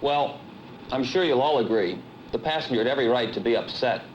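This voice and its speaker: A man playing a measured, understanding airline supervisor in a training film. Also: mid-range voice, lively intonation, close to an everyday voice. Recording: muffled, faintly noisy 1970s film soundtrack.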